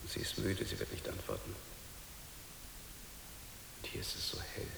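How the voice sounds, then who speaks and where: An actor playing an anguished, devoted father who clings to his faith in a radio play, moving between halting murmurs and fervour. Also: in a whisper, close to an everyday voice. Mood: despairing, hushed. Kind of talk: dramatic radio play monologue. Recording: echoey reverberant space with hiss.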